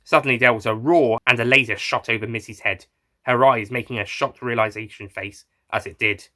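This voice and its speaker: Male storyteller, mid-pitched and bright, for scripted first-person adventure narration. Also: steady tone, brisk. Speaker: narrator and writer of an audio story.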